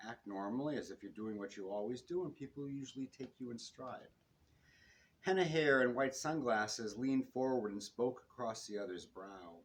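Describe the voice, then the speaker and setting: A man reading his own detective novel to listeners, with expressive narration and the odd stumble. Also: mid-range voice, normal speed. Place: public reading in a bookstore. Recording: noisy live room recording.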